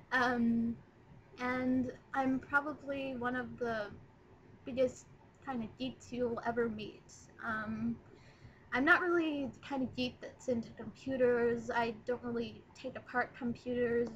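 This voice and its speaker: A woman's voice: fairly high pitch, pushed and bright, highs cut off, a little hiss or hum. A nineteen-year-old woman chatting in a rambling, casual first video blog, recorded on her laptop's webcam mic. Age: 19, young adult. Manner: chatty, rambling, lots of ums. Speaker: vlogger.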